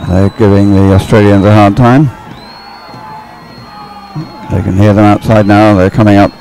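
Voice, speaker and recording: Deep, gravelly male co-commentator. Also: flat delivery, moderate pace, dark tone, raised voice, faint music behind, some chatter behind, low-quality recording. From Great Britain. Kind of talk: chatty live sports commentary.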